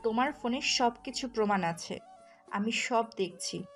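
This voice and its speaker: A woman's voice: on the high side, measured pace, close to an everyday voice. A woman playing a wounded, jealous wife who scolds and accuses sharply in an audio story. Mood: jealous, accusing. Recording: music under the voice.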